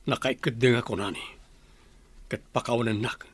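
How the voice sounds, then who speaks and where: A man's voice: medium pitch, fairly even intonation, normal speed, husky, dry recording, close to an everyday voice. A man with an Ilocano accent playing a hot-headed, determined husband in a radio drama. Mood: resolute.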